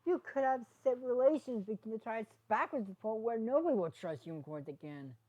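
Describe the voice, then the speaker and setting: Young female cartoon pony performed by a woman: raised pitch, concerned, questioning, reproachful friend. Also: lively intonation, brisk, a little mellow, lo-fi sound. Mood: frustrated.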